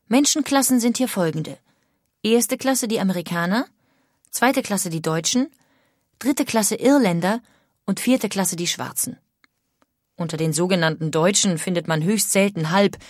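A woman's voice: on the low side, dry recording, studio quality. An actress playing an outspoken, critical emigrant woman of the 1800s, reading her letters home in a formal way. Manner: formal, opinionated. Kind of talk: dramatic reading of historical letters.

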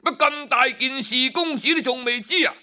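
A sly Cantonese commoner from a storybook, voiced by a man. He exclaims with mock innocence in a high, sharp voice to goad his listener. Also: moderate pace, full character voice. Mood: mock surprise. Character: sly commoner friends feigning surprise to goad a lord.

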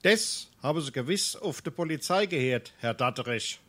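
A man's voice: a little high, animated, moderate pace, a bit bright. Blunt, canny Hessian townsman. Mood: dry mockery. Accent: Darmstadt Hessian. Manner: dry, needling. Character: canny, blunt male townsman, a family friend. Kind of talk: dialect stage comedy acting.

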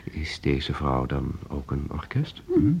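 Very deep-voiced teasing lover. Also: male voice, huge pitch swings, creaky, a little mellow, slightly rough, full character voice. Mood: amused, teasing. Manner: teasing, unhurried, intimate. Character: teasing, intimate male lover, dry and playful. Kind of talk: naturalistic Dutch radio drama acting.

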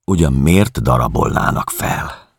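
A man's voice: very deep voice, animated, slightly rough, dry recording, studio quality, exaggerated voice. A man reading the dialogue of a sober, reassuring refugee husband in an audiobook.

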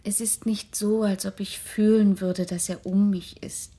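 A woman's voice: mid-range voice, little pitch movement, measured pace, dark tone, slightly rough. An actress playing a troubled but brave young married woman in a radio drama, hesitant and intense, often half-whispering. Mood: troubled, resolute. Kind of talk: German radio drama acting.

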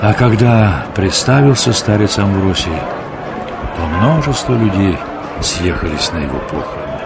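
An older actor playing a wise grandfather, narrating a radio play in a measured, instructive manner. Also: low-pitched, very noisy. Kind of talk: scripted storytelling narration. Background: prominent new-age music.

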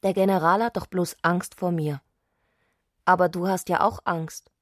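A woman's voice: lowish voice, fairly even intonation, moderate pace, no room sound, studio quality, natural voice. A woman playing a fervent, eccentric woman in a literary radio drama, insistent and declarative. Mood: exalted, insistent. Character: Clarisse, fervent, eccentric woman obsessed with madness.

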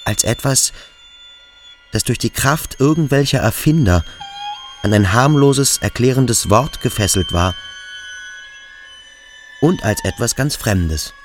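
A man reading inner thoughts in a literary radio drama, brooding and in short, halting phrases. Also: mid-range voice, expressive, slight background noise. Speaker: second narrator voicing inner thoughts.

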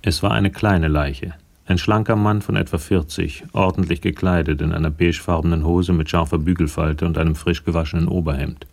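A man narrating a crime radio play in a measured, matter-of-fact way, with a gravelly voice. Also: low voice, steady tone, a little mellow. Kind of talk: scripted radio drama narration.

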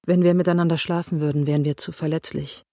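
Mellow, serious woman investigator. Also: sits low, steady tone, fast, no room sound, clean studio sound. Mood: earnest, vulnerable. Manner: earnest, reflective. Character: serious, guarded female police investigator. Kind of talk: radio drama dialogue.

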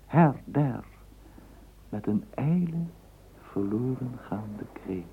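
An actor narrating a literary radio play as a measured, lyrical male storyteller. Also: medium pitch, expressive. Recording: muffled, noisy old radio play recording.

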